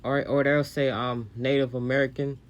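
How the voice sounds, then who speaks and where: A man's voice: medium pitch, little pitch movement, moderate pace. Casual preachy man commenting on clips. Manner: casual, repetitive, preachy with scripture quoting. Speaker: religious commentator. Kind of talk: sermon-like commentary on video clips.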